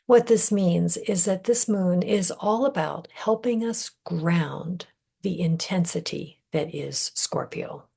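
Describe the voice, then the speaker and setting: A woman leading a guided meditation in a gentle, measured way, breathy and husky, often trailing off into a whisper with deliberate pauses. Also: lowish voice. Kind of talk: guided meditation, soothing scripted narration.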